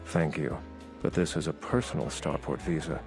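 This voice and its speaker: A man voicing an earnest, guarded political insider in a video game, measured and formal. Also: a bass voice, vocal fry, music in the background. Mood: guarded, polite. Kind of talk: video game character dialogue.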